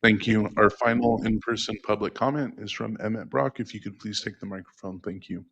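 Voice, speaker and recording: Low-voiced man calling public speakers. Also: steady tone, fairly quick, creaky, fairly bright tone, lo-fi sound. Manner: polite, procedural announcements. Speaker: meeting clerk.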